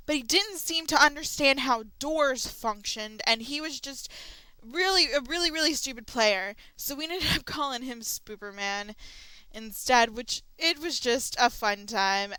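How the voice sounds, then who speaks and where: A woman's voice: sits high, sharp tone, clear, resonant tone, no echo, rough recording. A young woman hosting a casual audio log, chatty and rambling with frequent ums and restarts. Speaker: channel host.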